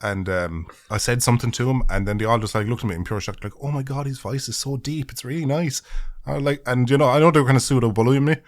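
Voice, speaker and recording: A man's voice: mid-range voice, fast talker. A young man, a podcast guest, trading crude casual banter, swearing a lot and laughing at his own jokes.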